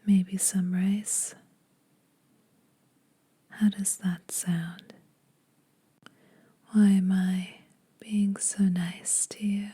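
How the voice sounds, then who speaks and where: A woman acts out an ageless female vampire in an ASMR roleplay, teasing yet secretly kind to mortals. She speaks in a very breathy whisper, extremely soft and dark in tone. Her delivery is intimate and very slow, with little rise and fall. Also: mid-range voice, flat delivery, full character voice. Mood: teasing, coaxing.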